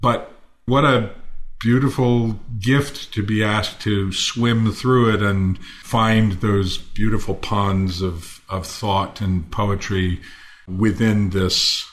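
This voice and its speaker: Low-voiced older male stage actor. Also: fairly even intonation, takes it slowly. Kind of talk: reflective panel discussion.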